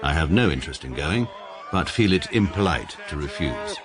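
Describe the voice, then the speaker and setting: Upper-class English barrister, very deep, animated pitch, pompous, precise, deadpan, radio comedy narration. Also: male voice, normal speed, crowd noise. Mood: dry, self-satisfied. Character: pompous, coldly logical English establishment barrister narrating his life. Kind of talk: scripted first-person narration in radio comedy drama.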